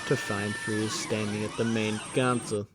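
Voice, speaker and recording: A man's voice: level tone, normal speed. A man narrating an audio drama as scripted action, theatrical and dramatic in a present-tense play-by-play. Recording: noisy, vehicle rumble and ambience behind.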